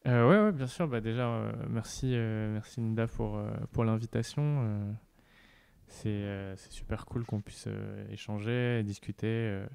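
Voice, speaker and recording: Hesitant low-voiced French man. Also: a little slow, creaky, darkish tone, clean tone. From France. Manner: hesitant, many fillers and restarts. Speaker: podcast guest. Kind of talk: casual interview chat.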